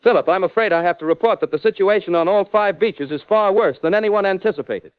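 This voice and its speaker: A man's voice: high-pitched, quick pace, clear, resonant tone. A man playing a shaken 1940s American war correspondent, reporting urgently and dramatically over a filtered radio link. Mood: grave, urgent. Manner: urgent, dramatic, florid eyewitness delivery. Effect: radio transmission filter. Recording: tinny, narrow wartime radio link.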